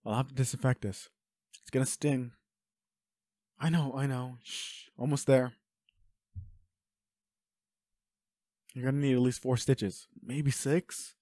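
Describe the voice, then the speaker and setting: A man's voice: medium pitch, steady tone, normal speed, dark tone, no echo, studio quality, lightly acted. A man playing an anguished, protective werewolf boyfriend in an audio roleplay, speaking with urgent, pleading emotion. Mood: reluctant, soothing. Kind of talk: intimate dramatic roleplay acting.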